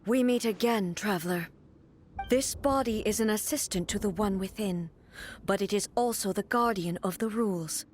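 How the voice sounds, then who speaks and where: A woman playing a solemn, regal warrior deity in a video game, speaking in a grave, formal, measured manner. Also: mid-range voice, a little hiss or hum, natural voice. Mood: stern, challenging. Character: solemn, regal female warrior deity and her stern puppet double. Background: game music.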